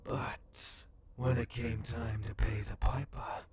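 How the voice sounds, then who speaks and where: A man playing a sadistic cyborg bounty hunter boss in an ASMR horror roleplay, with a gravelly half-whisper and slow, taunting delivery. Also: mid-range voice, flat delivery, a little mellow, slight background noise, close to an everyday voice. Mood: mocking menace. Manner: slow, menacing, drawn-out taunting.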